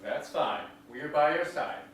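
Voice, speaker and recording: A man's voice: a little high, expressive, measured pace, a bit bright. A man playing a genial small-town countryman in a stage drama, talking in a folksy rural dialect. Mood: kindly. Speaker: actor in a staged reading. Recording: echoey hall, distant mic, faint noise.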